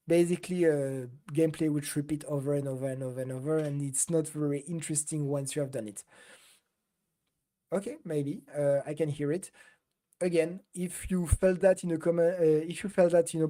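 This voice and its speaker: A woman's voice: deep voice, warm tone. A middle-aged woman co-hosting a casual gaming podcast, talking loosely with many fillers and hesitations. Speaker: podcast co-host.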